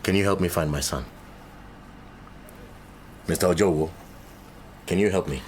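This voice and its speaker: Man acting guarded dad in a radio play, lowish voice, formal and terse, faint noise behind. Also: normal speed, natural voice. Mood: anxious. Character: reserved London engineer, a father searching for his son.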